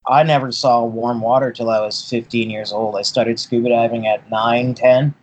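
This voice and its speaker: A man's voice: mid-range voice, fairly even intonation, moderate pace, darkish tone, rough recording. A man who grew up in Boston, a dive boat captain, telling stories in an easygoing way as a guest on an interview. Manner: easygoing storyteller, repeats words as he thinks.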